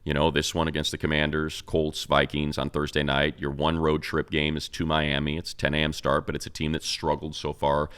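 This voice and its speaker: A man's voice: rumbling low, monotone, brisk, few pauses, a bit bright, smooth. A man hosting a sports talk show, casually analyzing in a conversational, explanatory way and posing rhetorical questions.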